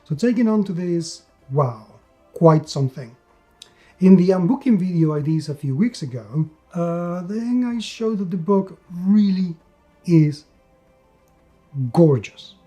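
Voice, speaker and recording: Emphatic male game reviewer, mellow voice. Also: high-pitched, lively intonation, unhurried, faint music behind. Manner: enthusiastic, emphatic, repeats words for stress. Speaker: host and reviewer of tabletop role-playing games. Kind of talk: scripted-sounding video review.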